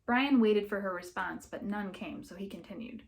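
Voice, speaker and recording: A woman's voice: medium pitch, normal speed, creaky. A woman reading a novel aloud as an audiobook narrator, in scripted story narration.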